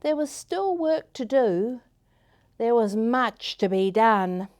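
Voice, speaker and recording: A woman storyteller hosting a story time, reading to children in a gentle, expressive way with deliberate phrasing. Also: fairly high pitch. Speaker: storyteller, host.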